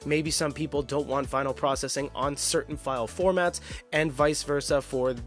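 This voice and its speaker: A man's voice: on the high side, fairly even intonation, normal speed. A man narrating a casual tech review, explaining things in an informal, emphatic way. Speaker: product reviewer. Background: background music.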